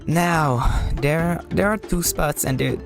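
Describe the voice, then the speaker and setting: A man giving casual, halting and rambling live commentary as a video game streamer, often repeating words. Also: a little high, gravelly, over music.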